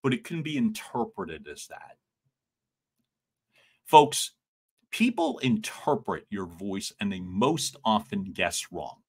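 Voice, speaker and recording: A middle-aged man coaching on communication in a live stream, loud and expressive, joking with his viewers, speaking from a room at home into a studio mic. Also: on the low side, slow pace, pauses often, husky. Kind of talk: livestream coaching talk. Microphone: large studio mic in front.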